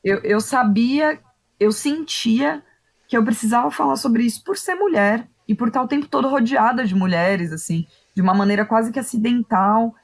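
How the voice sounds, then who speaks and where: A woman's voice: on the high side, moderate pace. A woman with a Brazilian accent speaks as an interview guest, a playwright and director thinking aloud and often checking in with short tag questions. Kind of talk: reflective conversational interview.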